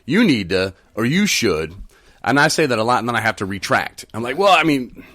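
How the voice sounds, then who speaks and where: A man's voice: mid-range voice, sing-song, a little fast, bright, forceful tone. A man co-hosting a casual talk about recovery, giving advice and playfully teasing his friends. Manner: teasing, playfully mock-bitter storyteller. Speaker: podcast co-host.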